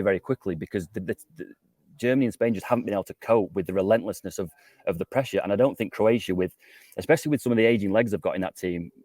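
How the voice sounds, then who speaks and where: A man's voice: lowish voice, a little mellow, dry recording. A man giving conversational football analysis as a pundit, repeating words as he builds his argument.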